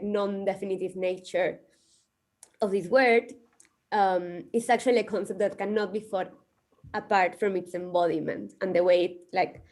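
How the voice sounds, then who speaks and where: A woman delivers a measured academic talk. She reasons aloud and softens her points with small hedges as she goes. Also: medium pitch. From Peru, coast. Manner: thoughtful, hedging with "like" and "we could say". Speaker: conference speaker. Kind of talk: academic presentation.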